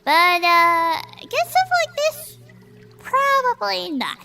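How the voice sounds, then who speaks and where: A woman playing a tabletop role-playing game in character, with an excitable, slangy delivery. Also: very high voice, slow pace, creaky, over loud music. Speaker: player.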